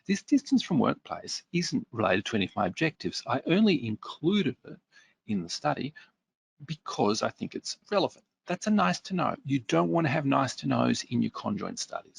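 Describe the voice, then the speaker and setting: A man leads a workshop-style webinar, picking up viewer questions and talking them through in a relaxed, conversational way. His voice is deeply gravelly and a little husky, with a lively pitch that rises and falls often. The recording is dry and close to the mic. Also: no echo. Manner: reads out viewer comments and responds conversationally with fillers. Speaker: webinar presenter.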